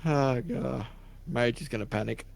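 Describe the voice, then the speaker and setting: A man co-hosting a podcast, chatting and reacting while gaming, quick to laugh and curse. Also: mid-range voice, gravelly. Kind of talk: casual chat while gaming.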